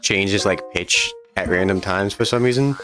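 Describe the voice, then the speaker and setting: A man with a slight lisp talking earnestly on a podcast call, in quick bursts full of fillers. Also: low-pitched, fairly bright tone, faint music behind. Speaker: podcast guest. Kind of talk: casual voice-call chat.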